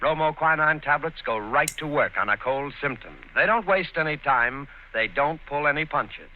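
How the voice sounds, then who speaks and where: An old-time male radio announcer reads an ad in an earnest, emphatic voice pitched a little high. The broadcast sound is muffled, with faint hiss underneath. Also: expressive, normal speed. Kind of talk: 1940s radio ad read.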